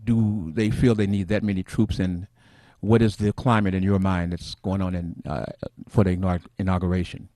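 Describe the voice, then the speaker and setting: A middle-aged man hosting a casual talk show, soft-spoken and rambling, who addresses his viewers as family. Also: low voice, little pitch movement, moderate pace, no echo. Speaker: host.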